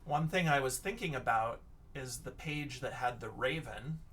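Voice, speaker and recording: A man teacher reading a picture book aloud and chatting to children, expressive, often dropping into hushed whispers. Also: medium pitch, moderate pace, recorded from a distance, a little hiss or hum.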